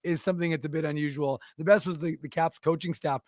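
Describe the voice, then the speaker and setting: A man's voice: fairly high pitch, fairly even intonation, quick pace, a little mellow, dry recording, clean studio sound. A man co-hosting a casual hockey talk show, rambling with lots of restarts. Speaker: podcast co-host.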